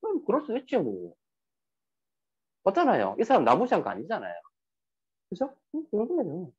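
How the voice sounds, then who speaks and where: A man's voice: sits high, wildly swooping pitch, fairly quick, husky. A man giving a lecture, asking the audience rhetorical questions and acting out quoted dialogue. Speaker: speaker giving a talk on sales and emotions. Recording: dull lo-fi recording.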